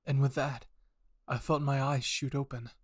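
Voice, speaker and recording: A man's voice: medium pitch, little pitch movement, moderate pace, no echo, studio quality. A man narrating a scripted action story with an intense, dramatic delivery. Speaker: fan fiction narrator.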